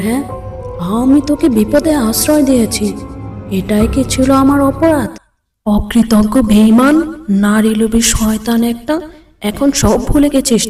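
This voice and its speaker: A woman's voice: a little high, dark tone, echoey room, lightly acted. A woman voicing a bitter, vengeful female ghost in a horror cartoon, accusing and scornful. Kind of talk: Bengali horror cartoon voice acting. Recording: distant voice over music.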